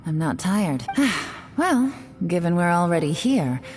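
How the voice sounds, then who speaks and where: A woman voicing a cool, sharp-eyed woman spy in a video game who speaks in a composed, measured way. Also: fairly low pitch, very animated, in a large hall, faint music behind.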